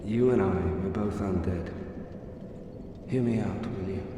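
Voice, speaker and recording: A man playing a dying undead knight in a video game, speaking weakly and haltingly with courteous, solemn resignation. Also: low-pitched. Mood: weary, pleading. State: dying, fading strength. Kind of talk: dramatic video game dialogue. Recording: roomy, distant, long reverb, noisy ambience.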